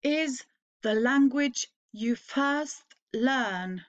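Norwegian teacher reading slowly, higher voice. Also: female voice. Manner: slow, clearly phrased read-aloud with pauses. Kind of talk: slow read-aloud for learners to repeat.

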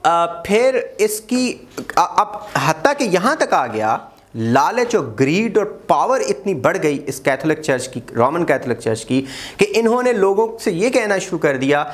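A man gives an exam-prep history lecture. He gestures a lot, repeats key dates and often pauses with "uh". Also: sits high. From Pakistan. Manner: animated, gestures widely, repeats key dates, frequent "uh" fillers. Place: small room in front of a whiteboard. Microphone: lapel mic.

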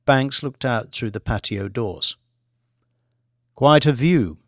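A male narrator reads a thriller novel as an audiobook. His delivery is measured and dramatic, in the manner of scripted storytelling. The recording is close and dry, with very little room in it. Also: mid-range voice, no room sound.

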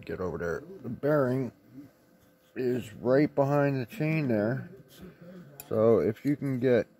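A man's voice: mid-range voice, animated, slow pace, warm tone. A man giving a casual hands-on repair tip in a rambling, plain-spoken, mildly profane way. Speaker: chainsaw repair tip presenter.

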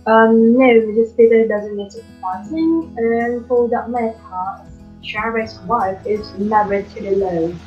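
A woman's voice: fairly high pitch, a little slow, soft background music, close to an everyday voice. A young woman playing a condescending male county attorney in an amateur stage drama, hesitating with frequent ums. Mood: dismissive. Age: young adult. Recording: echoey, dull webcam audio.

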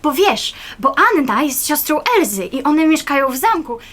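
A woman's voice: sits high, sing-song, normal speed, fairly bright tone. A woman acting a chatty, demanding preschool girl who rambles insistently in a radio play. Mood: chattering. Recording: very noisy, clicky recording.

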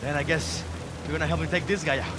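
A man playing a gruff, hot-headed soldier in a video game, terse and profane. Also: on the high side, quick pace, very noisy, lightly acted. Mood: tense, bitter. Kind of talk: dramatic video game acting. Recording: loud game music and rain behind. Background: loud music, rain.

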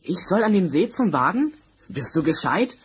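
A doubtful, plain-spoken young fellow in a storybook radio play. The voice is high and incredulous, with faint vinyl noise. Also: male voice, sing-song, normal speed. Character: naive, doubtful poor young man Hans. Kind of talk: 1970s German fairy-tale radio play acting. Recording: noisy vinyl record transfer.

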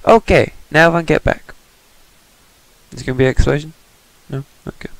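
A man's voice: on the high side, a little fast, gravelly, dry recording, rough recording. A man giving casual, sarcastic commentary while playing a video game, muttering to himself. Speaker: let's player. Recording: game music underneath. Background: game music.

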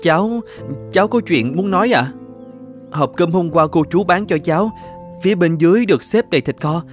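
A poor young male student in an animated fairy tale. His voice is on the high side, polite and hesitant, very deferential to his elders. Soft music plays behind him. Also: normal speed. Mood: grateful, earnest. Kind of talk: animated moral-tale dubbing.

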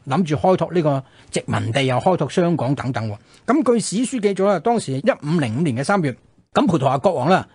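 Fast, husky Hong Kong Cantonese radio host, male. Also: fairly high pitch, no echo. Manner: frequent colloquial sentence-final particles. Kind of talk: conversational history storytelling. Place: radio studio.

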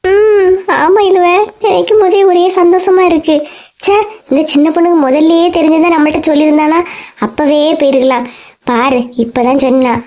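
A woman acting high-pitched, combative Kanyakumari Tamil housewife. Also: fairly quick, a little mellow, lightly acted. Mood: excited, proud. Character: boastful, combative middle-aged housewife and wife. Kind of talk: comedy cartoon dialogue.

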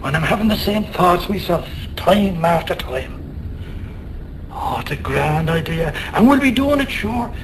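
An actor playing a loyal, consoling Irish sailor, rough but tender, in a radio drama, with a lilting, hearty manner. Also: male voice, expressive, measured pace. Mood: reassuring. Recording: noisy radio drama with rumbling ambience.

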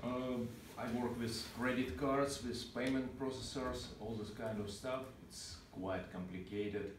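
A man with a Russian accent leads an informal programming class and keeps asking his students questions. His medium-pitched voice comes from a distance, with lots of room echo and steady background noise. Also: unhurried. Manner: relaxed, asks the class questions. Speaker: programming teacher. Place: small meeting room with a whiteboard. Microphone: far camera. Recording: roomy, distant, noisy room sound.

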